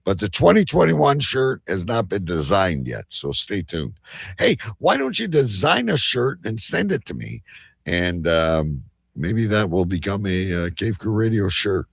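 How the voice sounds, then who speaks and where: A man's voice: low-pitched, sing-song, a little slow, vocal fry, husky, no room sound, clean studio sound. A man hosting a casual panel chat, bantering in a blunt, teasing way. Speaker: show host.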